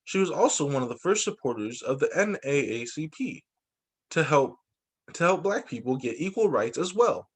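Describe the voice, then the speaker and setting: A man reading a picture book aloud to children as a teacher, in an expressive, dramatizing way. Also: fairly high pitch, moderate pace, breathy edge. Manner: expressive, dramatizing quoted lines.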